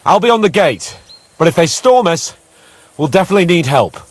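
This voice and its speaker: An actor playing an outspoken, skeptical young nobleman in a game, quick to question. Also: high-pitched, big pitch swings, no room sound. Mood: urgent.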